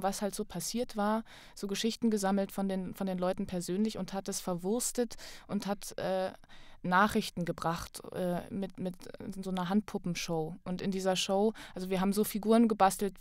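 A woman's voice: medium pitch, a little mellow, no echo. A woman with a mid-range voice chats as a guest on a podcast. She tells personal stories in a casual, self-correcting way. The sound is dry, with very little room. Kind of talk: casual chat, telling personal anecdotes.

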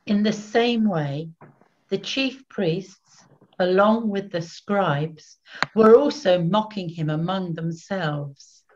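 A woman's voice: on the low side, darkish tone, slight background noise. An older woman narrating scripture solemnly from a script, phrase by phrase, over a video call at home. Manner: measured, phrase-by-phrase reading. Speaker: narrator, Evangelist. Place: small room at home. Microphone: computer video call. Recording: dull lo-fi video-call audio.

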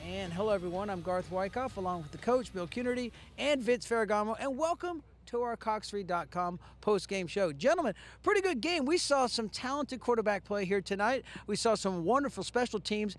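A middle-aged man hosting a post-game football show outdoors at night, speaking into a handheld mic with brisk, upbeat commentary. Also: high-pitched, expressive. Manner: brisk, upbeat play-by-play delivery. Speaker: sportscaster host.

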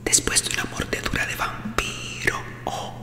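A middle-aged woman whispering close to the microphone in a hushed, soothing, theatrical ASMR roleplay as a playful vampire doctor. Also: moderate pace. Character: playful vampire doctor giving a nerve exam. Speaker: ASMR performer. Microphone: close studio microphone on desk.

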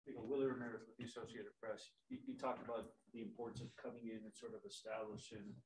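A man's voice: lowish voice, little pitch movement, fast, distant mic. Male wire reporter, off-mic in an echoey press room with noise behind. Long, winding questions. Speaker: news agency reporter. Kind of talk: asking questions at a press conference.

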